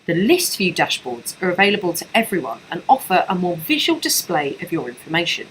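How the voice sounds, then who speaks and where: A woman narrating a scripted software tutorial as a trainer, explaining things step by step in an instructional way. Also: on the low side, big pitch swings, fairly quick, slight background noise, low-quality recording.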